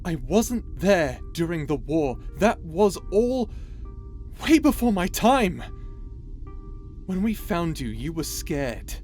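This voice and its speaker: A man's voice: sits high, very animated, takes it slowly, a little mellow, soft background music, heavily acted. An actor playing an earnest, protective young space pirate captain in an audio roleplay, flustered and stammering as he speaks emphatically. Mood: alarmed, protective.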